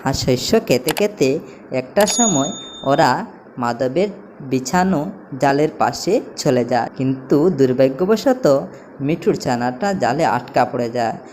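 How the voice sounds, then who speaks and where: A woman's voice: very deep voice, animated, unhurried, a little mellow. A woman narrating a scripted children's story as a storyteller, over a noisy background. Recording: noticeable background noise, ambience.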